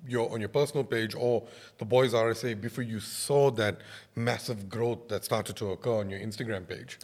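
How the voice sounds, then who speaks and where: A man's voice: on the low side, steady tone, normal speed, darkish tone. South African man interviewing in echoing reverb. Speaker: podcast host. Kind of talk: conversational interview. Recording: reverberant, hall-like echo.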